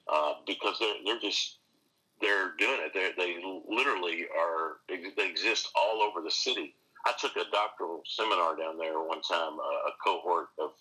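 Deep-voiced man with a bright, husky edge. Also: poor audio. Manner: earnest, many restarts, animated emphasis. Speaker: pastor and seminary teacher. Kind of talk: conversational interview answers.

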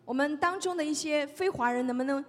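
A woman's voice: high-pitched, fast. Woman interpreting a prayer phrase by phrase. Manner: follows each phrase closely. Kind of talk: church interpreting. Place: church hall. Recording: long hall reverb.

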